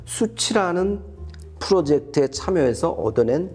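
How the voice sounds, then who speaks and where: A man with a mellow, relaxed voice talks through a product review. He explains things step by step and often rephrases himself. Faint music plays behind him. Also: on the high side, a little slow. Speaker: audio reviewer and co-host.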